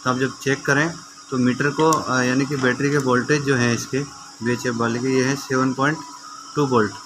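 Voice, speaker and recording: A man's voice: mid-range voice, little pitch movement, normal speed, noisy background. A man presenting an informal how-to demonstration, explaining step by step in a conversational way and addressing viewers like friends. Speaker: repair tutor presenting a how-to video.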